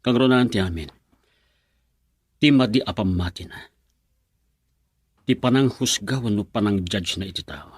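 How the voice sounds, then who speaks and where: A man's voice: lowish voice, unhurried, pauses often, slightly rough, dry recording, clean studio sound. A young man with an Ilocano accent playing a troubled friend who talks in a subdued, brooding way in a radio drama.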